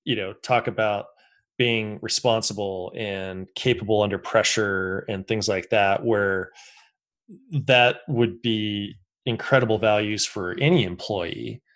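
A man in his fifties hosts a casual conversation, musing aloud in a loose, reflective way. His voice is on the low side, and he laughs easily between thoughts. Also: steady tone, measured pace, fairly bright tone. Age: 50s. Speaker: podcast host. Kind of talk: casual interview chat.

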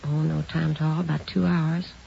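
A woman's voice: sits low, level tone, normal speed, vocal fry, warm tone, slightly rough, exaggerated voice. An actress playing a worried but composed mother in her forties in an old radio drama, on a dull, hissy recording. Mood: uneasy. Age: 40s. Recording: dull vintage radio recording with faint hiss.

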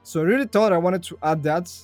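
A man's voice: high voice, fast talker, soft background music. Young man. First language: Bulgarian. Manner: chatty, laughs easily, repeats phrases. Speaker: guest. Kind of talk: casual podcast interview.

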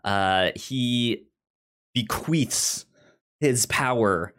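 A man's voice: medium pitch, drawn-out words, fairly bright tone, clear, resonant tone. A man hosting a podcast in a casual, chatty way, often stumbling over and repeating his words. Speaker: podcast host.

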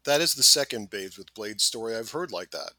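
A man's voice: fairly low pitch, expressive, fairly quick, bright, forceful tone, dry recording. A man hosting a casual podcast, asking eager, curious questions. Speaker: host.

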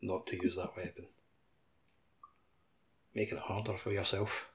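A man speaks in a fairly low voice. He is bantering casually, as on a podcast. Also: level tone, unhurried, fairly bright tone, slight background noise.

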